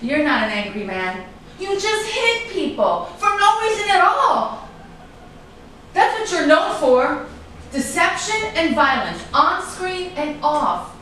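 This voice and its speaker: A woman's voice: sits high, big pitch swings, takes it slowly, a bit bright, full character voice. A woman playing a wronged, defiant partner in a staged drama, accusing and emphatic, in a very echoey room. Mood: scornful. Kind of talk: staged play reading, dramatic dialogue. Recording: very echoey room, distant mic, faint noise.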